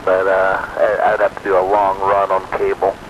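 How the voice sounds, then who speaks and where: A man with a fairly low voice and an American accent talks casually over two-way radio. Clear background noise sits under his voice, and his delivery is somewhat halting and informal. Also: completely flat delivery, highs cut off. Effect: two-way radio transmission. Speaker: amateur radio operator on a group net.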